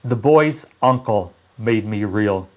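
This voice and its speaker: A man voicing a wise, gentle old toy horse from a storybook, explaining things patiently and deliberately. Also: on the low side, expressive.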